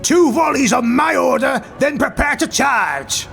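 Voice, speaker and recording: Man acting as an imperious dark elf warlord. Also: very high voice, lively intonation, a little slow, creaky, voice pushed up, exaggerated voice. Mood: shouting commands. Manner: imperious, barking orders. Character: commanding male dark elf highborn warlord in battle. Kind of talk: fantasy audiobook character acting. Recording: music bed behind the voice.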